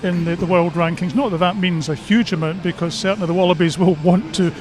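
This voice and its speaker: A man's voice: high-pitched, steady tone, fairly quick. A man working as a radio interviewer, putting conversational questions in a sports broadcast.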